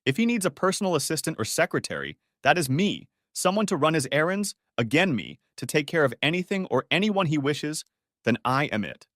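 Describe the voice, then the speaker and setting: A man's voice: a little high, big pitch swings, fairly quick, a bit bright, dry recording, studio quality, pitched above the performer's own voice. Man putting on a candid young female assistant, matter-of-fact. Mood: frank.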